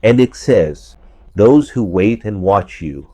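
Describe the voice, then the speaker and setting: A man with a hoarse voice from a cold, giving verse-by-verse scripture commentary and repeating points for emphasis. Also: lowish voice, expressive, slow pace, dark tone, no echo. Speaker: religious commentator.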